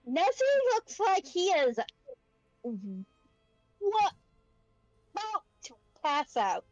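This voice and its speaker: A woman's voice: very high-pitched, sing-song, very slow, no room sound, faint music behind. A woman playing a tabletop game, chatting casually and wryly over slightly dull voice-chat audio.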